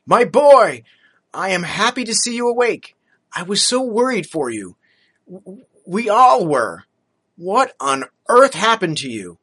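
A man voicing a loving, worried middle-aged father in a storybook reading, loud and reassuring. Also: high voice, sing-song, a little slow, slightly rough, pitched above the performer's own voice, heavily acted.

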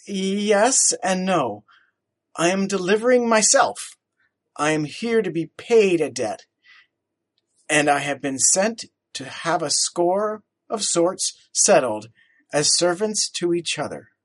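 A man's voice: sits high, animated, slow pace, pitched above the performer's own voice, heavily acted. A man puts on a well-mannered boy with a raised, eager voice. He speaks formally and with some hesitation, careful to stay respectful. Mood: earnest. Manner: polite, formal, slightly hesitant. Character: boy, polite, earnest young nephew.

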